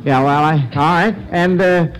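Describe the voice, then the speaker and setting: A man hosting an old-time radio quiz, speaking in a halting, encouraging and agreeable way. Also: fairly high pitch, fairly even intonation, a little hiss or hum, low-quality recording. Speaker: quiz show host.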